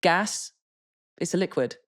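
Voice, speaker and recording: A woman's voice: on the low side, animated, measured pace. An English woman co-hosting a podcast, chatting casually in a blunt, self-mocking way and swearing freely. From England. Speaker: podcast co-host. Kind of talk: casual chat.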